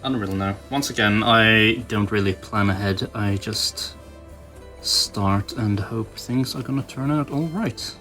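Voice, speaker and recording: A man's voice: on the low side, a little slow, pushed and bright. Casual rambling man over background music. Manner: informal, self-deprecating, thinking aloud. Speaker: toy customizer, channel host. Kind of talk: casual rambling hobby commentary while painting.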